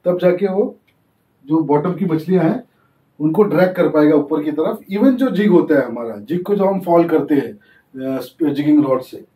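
A man's voice: on the high side, mellow. A young man hosting a fishing channel, giving a casual tutorial and explaining as he demonstrates, often slipping English terms into his talk. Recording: echoey, distant from the mic.

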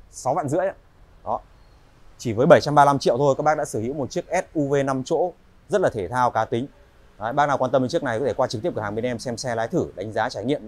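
A man in his thirties from Vietnam giving a polite, chatty walk-around sales pitch in a large indoor car showroom, with frequent filler pauses. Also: darkish tone.

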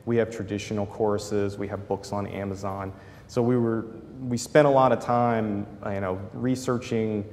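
Man, 30s, boomy voice, conference panelist, lapel mic, faint room noise. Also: a little mellow, long reverb tail. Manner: gestures broadly while explaining, many fillers. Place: large conference hall.

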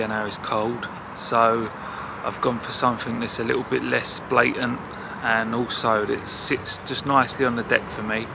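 A man talking casually about fishing in a relaxed, chatty way, outdoors by a lake. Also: medium pitch, gravelly. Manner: relaxed, chatty, with fillers and laughs. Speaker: angler vlogger. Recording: noisy outdoor background.

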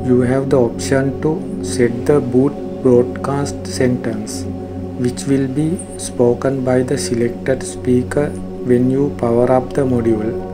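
A man reading scripted tutorial narration in a formal, measured, instructional way. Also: medium pitch. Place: voice-over for a screen recording.

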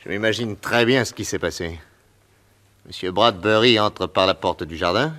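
An actor playing a confident detective who reasons out loud in an old radio drama. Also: male voice, on the low side, moderate pace. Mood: explaining. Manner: assured, deductive. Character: confident adult male detective, brisk. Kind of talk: 1950s radio drama acting.

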